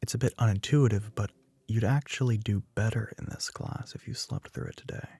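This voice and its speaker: Man playing a smug, low, husky telepathic classmate. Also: fairly quick, darkish tone, no room sound. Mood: smug, dry. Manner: dry, teasing, matter-of-fact, drops into whispers. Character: smug, teasing young male telepathic classmate, a tsundere. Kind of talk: ASMR roleplay, close and soft-spoken.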